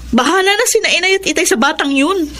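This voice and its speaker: A woman's voice: extremely high pitch, lively intonation, quick pace. A woman playing a callous, irritable mother in a Filipino radio drama, dismissive and exasperated. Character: callous, irritable mother who rejects her son.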